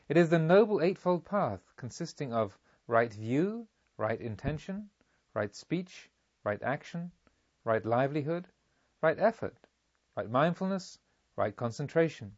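A man reading as a serene, revered spiritual master, solemnly and deliberately teaching a disciple in an audiobook. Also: fairly high pitch, a little mellow, breathy edge, no echo, lo-fi sound. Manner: solemn, emphatic, rhetorical, deliberate.

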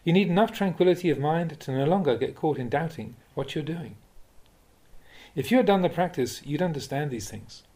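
Mellow, expressive man reading Buddhist teachings. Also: fairly high pitch, brisk. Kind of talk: audiobook-style reading of a dharma talk with questions and answers.

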